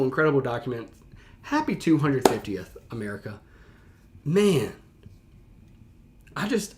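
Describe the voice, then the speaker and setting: A man giving casual, enthusiastic reaction commentary as a streamer, speaking in informal slang and often repeating himself. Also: medium pitch. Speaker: teacher and streamer hosting a reaction live stream.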